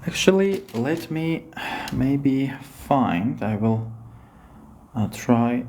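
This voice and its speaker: A man with an Armenian accent, a native Armenian speaker, teaching English as he walks through a test on screen. He talks in an unhurried, hesitant way and keeps checking in with "yes?". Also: mid-range voice, lively intonation, a little mellow, slight background noise. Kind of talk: screen-share lesson walkthrough.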